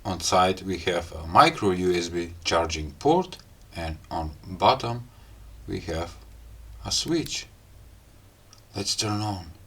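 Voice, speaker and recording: A man with a fairly low voice and a non-native English accent talks through a gadget demonstration. His delivery is very slow and casual, broken into short phrases with pauses between them. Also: lively intonation, sharp tone, husky. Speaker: gadget review host. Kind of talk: casual hands-on unboxing and product demo talk.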